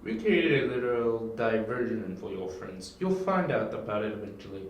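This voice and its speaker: A man plays a cold villain in an audio drama, recorded in an echoey room. He is curt and commanding, a boss used to being obeyed, and his pitch moves around a lot. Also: sing-song, normal speed, slight background noise. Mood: coolly menacing. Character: cold, bossy male crime boss villain. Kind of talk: hobby audio drama acting.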